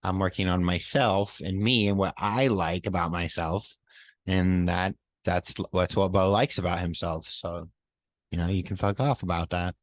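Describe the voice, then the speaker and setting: A man with a very low voice chats casually as a podcast co-host. He backs his partner up with frequent short affirmations. The recording is dry and close. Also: steady tone, moderate pace, no echo. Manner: supportive, frequent affirming interjections.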